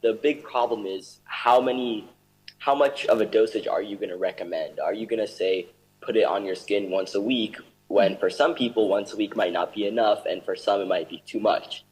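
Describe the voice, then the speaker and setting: A man's voice: on the low side, normal speed, darkish tone, in a large hall, rough recording. A young man from Ethiopia answering interview questions over a video call, earnest and eager, with fillers. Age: young adult. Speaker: guest.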